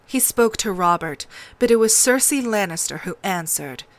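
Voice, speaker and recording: A woman's voice: mid-range voice, lively intonation, moderate pace, no room sound. A woman reading scripted fiction as an audiobook narrator, in a dramatic storytelling style.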